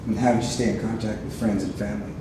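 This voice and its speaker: Male volunteer interviewer, low voice, halting delivery; echoey, distant recording. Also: little pitch movement. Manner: hesitant, many fillers and restarts. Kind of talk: oral history interview. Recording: echoey room, far mic, background noise.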